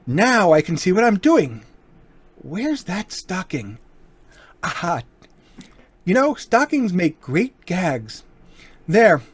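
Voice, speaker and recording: An actor playing a suave, courteous gentleman burglar with a coolly threatening manner in an old-time radio crime drama. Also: high-pitched, sing-song, takes it slowly, pauses often, slightly rough, exaggerated voice. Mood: smug, cool. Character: suave, polite but menacing male gentleman burglar.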